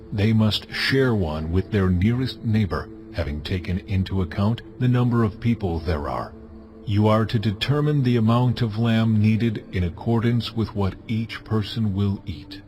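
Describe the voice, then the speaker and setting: A man playing God in a dramatized audio Bible, solemn and authoritative as he delivers measured commands. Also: lowish voice, lightly acted. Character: God, solemn commanding male deity giving laws. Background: music.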